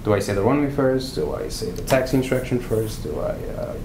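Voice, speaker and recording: A man's voice: medium pitch, fairly quick. A man in his thirties explaining his work as an air traffic controller informally in a panel discussion. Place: small meeting room, around a conference table. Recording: echoey room, clear background room noise.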